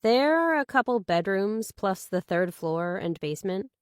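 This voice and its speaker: A woman's voice: medium pitch, animated, a little slow, clear, resonant tone, no echo, lightly acted. A reserved young heroine of a thriller audiobook, played by a woman narrator. She answers in an understated, matter-of-fact way, a little hesitant. The recording is dry. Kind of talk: audiobook character dialogue.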